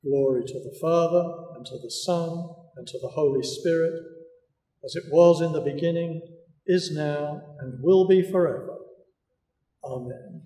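A man in his seventies, a priest, solemnly and deliberately reading formal liturgical prayers. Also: fairly high pitch, warm tone. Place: large stone church, indoors. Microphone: camera a few metres away. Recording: distant mic, echoey church reverb.

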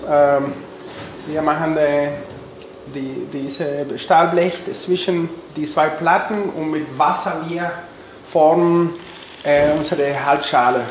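A man in his forties with a Chilean Spanish accent explains a craft in hesitant, non-native phrasing. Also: on the high side. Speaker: instrument maker and musician. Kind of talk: explaining a craft process to camera. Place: indoor production workshop. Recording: echoey workshop with slight noise.

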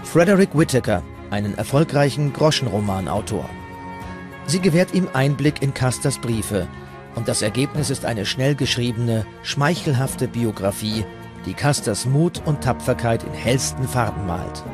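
A man's voice: mid-range voice, lively intonation, moderate pace. A man reading scripted documentary narration as the narrator. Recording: music playing behind the voice. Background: music.

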